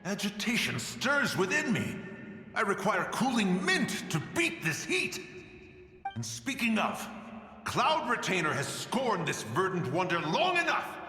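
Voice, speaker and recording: A man's voice: sits high, wildly swooping pitch, measured pace, pushed and bright, full character voice. A man acting a pompous, raving immortal in a fantasy game, melodramatic and grandiose in archaic phrasing. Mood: crazed, theatrical. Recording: echoing long reverb, faint music behind.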